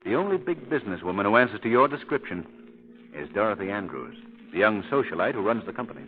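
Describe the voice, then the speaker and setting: A man with an American accent acting a calm, shrewd gentleman sleuth in 1930s radio drama style. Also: lowish voice, fast talker. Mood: grave, intent. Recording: muffled old 1930s radio recording.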